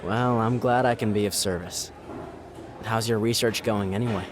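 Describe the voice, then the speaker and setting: An actor playing a wistful rig maintenance worker in an audio drama, speaking in a reflective, subdued way. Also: male voice, lowish voice, fairly even intonation, normal speed, noisy background, close to an everyday voice. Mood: weary, affectionate. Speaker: narrator and lead character. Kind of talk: audio drama narration and dialogue. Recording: music under the voice.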